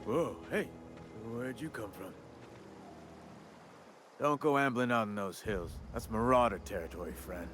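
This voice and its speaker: An actor playing a friendly, chatty frontier-town gravedigger in a video game, with a folksy, neighbourly way of talking. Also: male voice, lowish voice, unhurried, long pauses, over music, lightly acted. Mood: friendly warning. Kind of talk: video game character acting.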